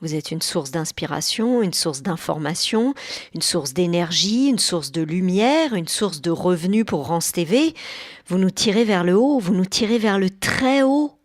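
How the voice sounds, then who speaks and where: A performer in an audio satire playing a sardonic, mock-fawning radio interviewer who needles with deadpan irony. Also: medium pitch, a little slow, no room sound, close to an everyday voice. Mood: mock reverent. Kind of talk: scripted satirical audio fiction.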